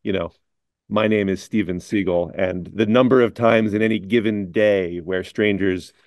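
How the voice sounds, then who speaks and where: A middle-aged man who is a chief editor, talking on camera in a measured, wry way. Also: fairly low pitch, fairly even intonation, darkish tone, no echo, clean studio sound. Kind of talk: casual on-camera chat.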